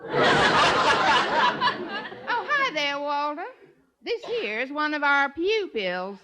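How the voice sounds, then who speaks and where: High, drawling hillbilly schoolmarm. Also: female voice, very animated, slow pace, sharp tone, highs cut off, a little hiss or hum, exaggerated voice. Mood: folksy. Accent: exaggerated American hillbilly. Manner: drawling, ungrammatical hillbilly talk. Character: folksy hillbilly schoolteacher woman, cheerful and backwoods ignorant. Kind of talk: 1950s radio comedy acting before an audience. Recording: 1950s radio broadcast, music, laughing audience.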